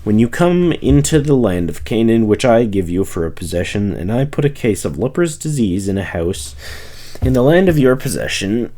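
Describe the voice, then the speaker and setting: A man's voice: on the low side, big pitch swings, moderate pace, warm tone. A man reading scripture aloud as a reader of a long text.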